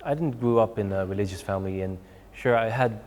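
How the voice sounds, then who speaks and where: A man's voice: on the low side, brisk, darkish tone, long reverb tail, slight background noise. A man, answering interview questions hesitantly, with many fillers. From Sweden. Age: 20s. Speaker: guest. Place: TV studio.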